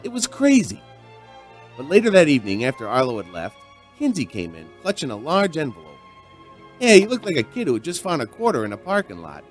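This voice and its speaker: A folksy, animated bartender storyteller. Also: male voice, fairly high pitch, a little fast, faint music behind. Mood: reflective storytelling. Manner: conversational, storytelling. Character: folksy, chatty male bartender telling his strange tale. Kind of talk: audio drama narration and dialogue.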